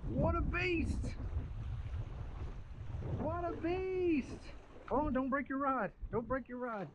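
A man hosting a casual fishing vlog outdoors, excitedly coaching with short, repeated, urgent calls. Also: very high voice, slow. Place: outdoors on a fishing jetty by the water. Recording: muffled, very noisy outdoor recording. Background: loud wind and water noise.

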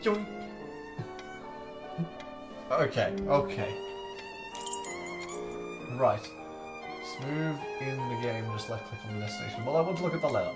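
A man co-hosting a let's play, reacting loudly with quick, swearing remarks. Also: medium pitch, huge pitch swings. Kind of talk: casual gaming chat. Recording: roomy with game music.

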